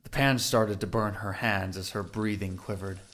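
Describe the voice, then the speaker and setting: A man's voice: fairly low pitch, fairly even intonation, moderate pace, a bit bright. A man narrating a scripted audio drama in a dramatic storytelling style.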